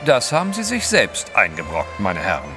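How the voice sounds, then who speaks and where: An actor playing a debonair English gentleman adventurer, urbane, formal and dryly witty, in a German audio drama. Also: lowish voice, huge pitch swings, normal speed, over music. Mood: suave, wry.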